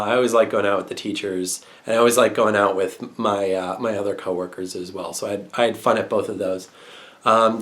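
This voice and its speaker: A young man talking casually in a vlog, his voice nasal and congested, rambling with lots of fillers and restarts. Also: lowish voice. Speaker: English teacher abroad.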